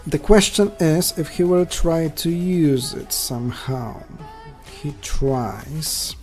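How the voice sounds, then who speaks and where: A man's voice: on the high side, takes it slowly, a little mellow, soft background music. Polish-accented man commenting his live games. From Poland. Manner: thinks aloud, chatty asides to viewers. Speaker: checkers streamer.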